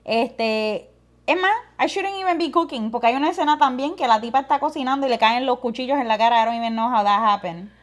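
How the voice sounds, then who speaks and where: A woman's voice: a little high, fast. A woman, co-hosting a casual podcast chat and mixing Spanish and English. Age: 30s. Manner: switches between Spanish and English mid-sentence. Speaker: podcast co-host.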